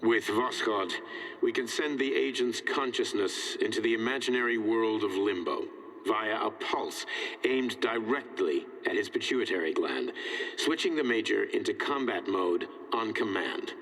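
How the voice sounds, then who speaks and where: Echoing, low-voiced clinical male scientist. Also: lively intonation, fairly bright tone. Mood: cold, clinical. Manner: measured, clinical, formal dictation. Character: composed older male scientist dictating clinical logs. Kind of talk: scripted video game acting, recorded report. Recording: long echoing reverb, played-back recording.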